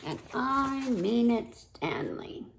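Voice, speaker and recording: A woman leads a story time for children in a slightly high voice with some creak in it. She takes her time, reading aloud and chatting to her young listeners with questions. There is faint noise on the recording. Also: sing-song, slow pace. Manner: addresses children directly with questions.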